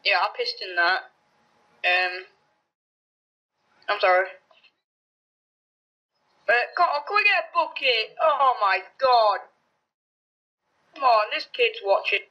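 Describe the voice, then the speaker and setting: A teenage boy co-commentating a wrestling game with dry, deadpan teasing. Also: high voice, takes it slowly, long pauses, highs cut off. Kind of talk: casual chat over a wrestling video game.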